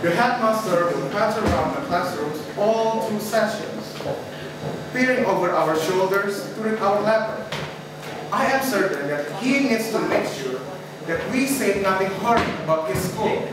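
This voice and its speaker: A teenage boy reading the narration for a school readers theater, steady and even. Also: high-pitched, moderate pace. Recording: echoey classroom, distant mic, loud noise.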